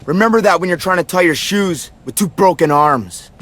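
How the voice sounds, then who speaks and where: Casting: grudge-holding wrestling heel in a game, menacing taunts, higher-set voice. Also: male voice, normal speed, a bit bright. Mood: taunting threat. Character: threatening, grudge-holding male rival wrestler bully. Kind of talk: scripted wrestling game cutscene acting. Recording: background noise in game scenes.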